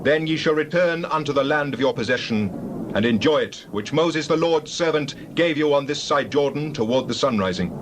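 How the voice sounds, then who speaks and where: An earnest, commanding Israelite leader acted by a man delivers deliberate orders in a biblical audio drama. His voice sits slightly high and declamatory, with faint noise behind it. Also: expressive, lightly acted.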